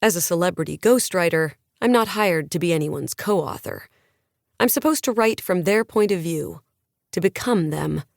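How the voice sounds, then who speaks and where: A woman's voice: on the low side, expressive, moderate pace, creaky, dry recording, studio quality. A woman narrating a first-person novel as an audiobook in a wry, conversational storytelling manner.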